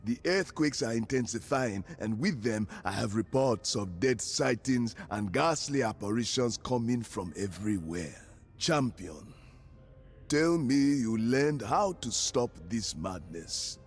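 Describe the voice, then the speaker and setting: Casting: stern fantasy game commander, shrewd, formal, unhurried, medium pitch. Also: male voice, animated, breathy edge, faint music behind. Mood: grave. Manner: formal, measured, authoritative. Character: stern, shrewd male commander of a threatened city.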